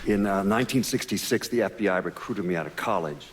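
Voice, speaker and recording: An actor playing an elderly retired male FBI official in a game, giving measured, halting documentary interview testimony. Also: low voice, very noisy, natural voice. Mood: measured recollection. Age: 60s or older. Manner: hesitant, halting, matter-of-fact.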